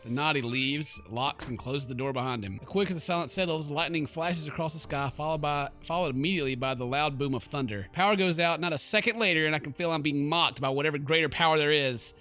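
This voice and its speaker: A man's voice: fairly high pitch, fast talker, few pauses, bright, forceful tone, faint music behind. A man hosting a let's play, reading game text aloud in a playful mock-dramatic way with jokey asides. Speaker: gaming video host.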